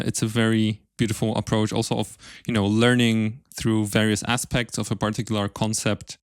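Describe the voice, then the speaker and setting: Male interviewer, clean resonant tone, dry close sound, thoughtful and halting. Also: lowish voice, clear, resonant tone, no room sound. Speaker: podcast host. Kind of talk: conversational interviewing.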